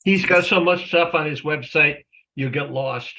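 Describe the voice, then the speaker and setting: A man's voice: on the high side, a little slow, bright, forceful tone. A man chatting casually as an attendee in an online meeting, over slightly dull call audio. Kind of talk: casual chat.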